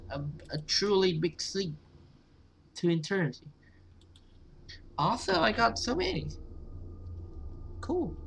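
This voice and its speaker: A man's voice: high-pitched, creaky, a bit bright, slight background noise. Man reading a horror game aloud, casually. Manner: stumbles over read words and repeats them. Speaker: gaming video host. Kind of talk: let's play reading visual novel text aloud with casual commentary.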